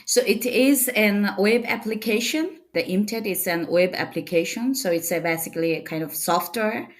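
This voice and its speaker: A young woman from Bangladesh, a startup co-founder, answering interview questions with many fillers and pauses. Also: medium pitch. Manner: hesitant, many fillers, non-native phrasing.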